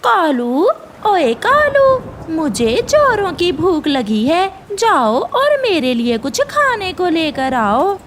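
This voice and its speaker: A woman's voice: very high voice, sing-song, slow pace, slight background noise, pitched above the performer's own voice, heavily acted. A woman voicing a bossy, hungry, nagging female crow wife in a cartoon. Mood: demanding.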